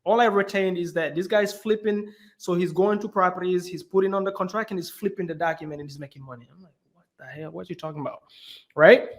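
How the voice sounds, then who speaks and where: A young man from Belgium, a guest in a casual interview, telling his story in an animated way with lots of "you know" and "right". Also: sits high, fast.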